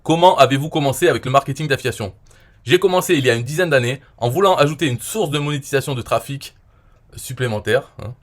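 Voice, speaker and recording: A man from France in his forties talking casually and at length, rambling with many fillers and often trailing off quietly. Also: a little high. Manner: rambling, frequent "euh" and "voilà", trailing off quietly. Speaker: online marketer reviewing his own interview. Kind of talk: casual explanatory monologue.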